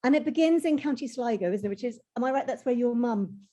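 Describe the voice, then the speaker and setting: A woman's voice: fairly high pitch, lively intonation, fast, mellow. A woman hosting an interview, chatty and welcoming as she introduces and questions her guest. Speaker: host.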